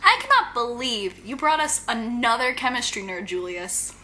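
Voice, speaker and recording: High, bright, brash supervillain played by a woman. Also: sing-song, moderate pace, a little hiss or hum. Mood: irritated, blunt. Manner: blunt, impatient, punchy. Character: brash, confident young female supervillain. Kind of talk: audio drama acting.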